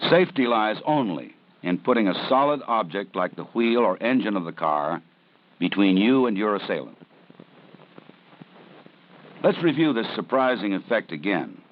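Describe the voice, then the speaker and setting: A man narrating a 1970s police training film in a measured, serious, instructive way. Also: on the low side, big pitch swings. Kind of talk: scripted documentary narration. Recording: dull 1970s film soundtrack with faint hiss.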